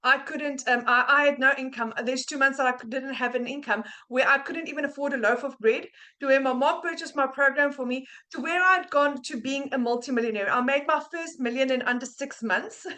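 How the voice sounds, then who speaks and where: Bright high-voiced woman. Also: a little fast, hardly any pauses. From South Africa. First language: Afrikaans. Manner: earnest, many ums and restarts. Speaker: guest sharing a testimonial. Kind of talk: personal testimony. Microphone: video call.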